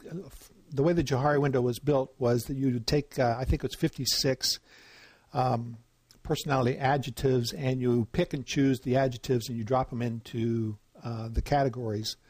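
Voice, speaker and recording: A man's voice: medium pitch, a little mellow, no room sound. A man giving an informal lecture, talking in a conversational way with lots of fillers and asking "right?" to check in. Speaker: instructor.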